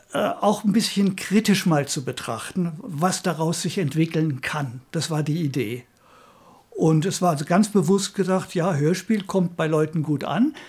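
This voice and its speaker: A man's voice: on the high side, darkish tone, husky. An elderly man reminiscing conversationally in an interview, speaking as a former radio director. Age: 70s or older. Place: at home in an apartment.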